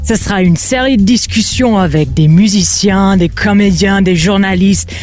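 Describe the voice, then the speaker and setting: A woman's voice: medium pitch, normal speed, a little mellow. Upbeat woman, non-native French, music under. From Canada. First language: English. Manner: upbeat, mixes English words into French. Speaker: podcast host. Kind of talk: scripted podcast intro.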